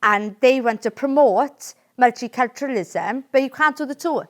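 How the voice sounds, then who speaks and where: A woman's voice: high-pitched, normal speed. Earnest Welsh woman, emphatic. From Wales. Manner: earnest and emphatic, keeps asking "right?". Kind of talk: casual political discussion.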